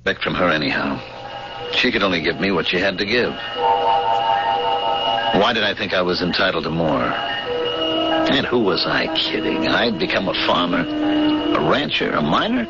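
A low-pitched, world-weary spaceship pilot, resigned and stubborn. His delivery swings widely in pitch. It comes through a dull vintage radio recording with music prominent behind it. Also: expressive, moderate pace, long pauses. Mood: bitter, resigned. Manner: bitter, resigned, curt. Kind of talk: old-time radio drama acting, first-person narration.

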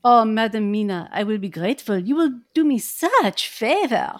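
A woman's voice: fairly high pitch, big pitch swings, moderate pace. A woman voicing an earnest, effusive old Dutch professor who speaks in broken, formal English. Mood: courtly. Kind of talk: reading a novel aloud in roles.

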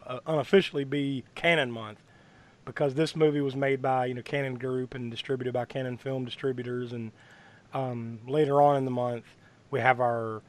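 A man hosting a horror movie podcast, hoarse from bronchitis, talking in a rambling way with many fillers and restarts. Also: medium pitch. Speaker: podcast host. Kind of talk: casual podcast chat.

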